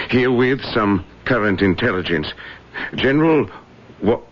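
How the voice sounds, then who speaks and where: An actor in an old radio drama playing a deliberate man reading out coded intelligence in a halting, measured way. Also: on the low side, husky. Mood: businesslike. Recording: muffled, noisy old radio recording.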